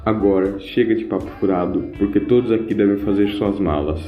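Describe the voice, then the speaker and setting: Guy doing a grave alien leader in an audio drama, low voice, pauses a lot, roomy sound. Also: natural voice. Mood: firm, confident. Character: grave alien commander, leader of his race. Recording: roomy, dull home recording with music.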